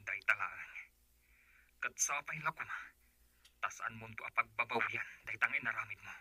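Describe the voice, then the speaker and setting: A man with an Ilocano Filipino accent playing a bitter, reproachful abandoned husband in a radio drama, husky and hushed. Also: on the low side, moderate pace.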